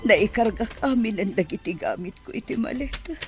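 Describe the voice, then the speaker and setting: A woman's voice: medium pitch, animated, normal speed, soft background music, natural voice. An actress playing a self-sacrificing nanny and secret mother, pleading and heavy-hearted, in radio drama style. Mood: sorrowful, resigned.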